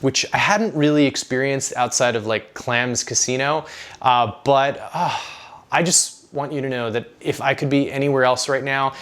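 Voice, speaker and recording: A man with a mid-pitched voice hosts a food vlog. He tells his restaurant review like a story to a friend, chatty and full of enthusiasm, in a loose, casual style. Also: a bit bright. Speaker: food vlog host and narrator.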